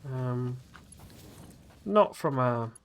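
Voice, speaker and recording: Man, live game stream, rambling chatter, many fillers, slightly gruff voice, medium pitch. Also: expressive, extremely slow. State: slightly gruff after a cold. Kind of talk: casual live-stream chat while playing.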